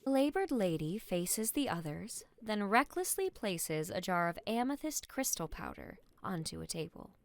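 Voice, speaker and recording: A woman's voice: mid-range voice, very animated, a little slow, creaky, hardly any pauses, no echo. A woman giving scripted narration for a fantasy story.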